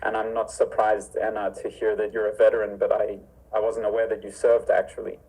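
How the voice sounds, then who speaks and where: A man's voice: on the low side, level tone, brisk, vocal fry, mellow, breathy edge, rough recording. A man from Zimbabwe, a martial arts instructor, speaking earnestly and formally on a remote video call from his home. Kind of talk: remote interview conversation. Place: home with a library.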